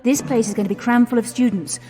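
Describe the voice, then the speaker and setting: A woman's voice: fairly high pitch, fairly even intonation, quick pace, warm tone, close to an everyday voice. An actress playing an exasperated, bossy young woman of the 1920s in a radio play, with a prim English accent. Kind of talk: radio theatre acting. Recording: long echoing hall, music behind.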